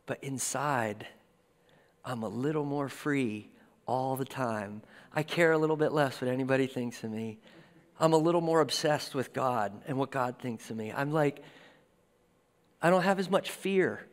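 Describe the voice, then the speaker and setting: A man's voice: mid-range voice, measured pace. Man preaching in a reverberant hall. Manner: conversational preaching with lively gestures. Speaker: pastor. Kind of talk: sermon. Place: church stage with a lectern and guitars.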